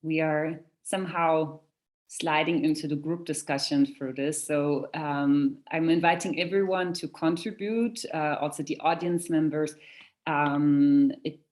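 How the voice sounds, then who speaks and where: Low-voiced woman moderating a seminar. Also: unhurried, darkish tone. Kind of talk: moderating an online discussion. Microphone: video call microphone.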